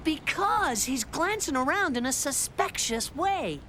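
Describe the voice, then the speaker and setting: A woman voicing an earnest, self-important little boy who plays detective in a cartoon, talking in a pompous, deliberate manner. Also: sits high, sing-song, slight background noise, exaggerated voice. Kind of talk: animated children's cartoon dialogue. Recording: loud music under the voice.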